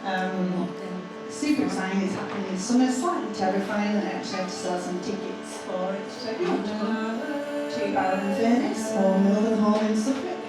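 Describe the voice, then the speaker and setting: A woman theatre maker presents her projects in a chatty, enthusiastic way. She sounds a little distant in a very echoey space, with loud music over her. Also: medium pitch, fairly quick. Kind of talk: informal presentation of own projects.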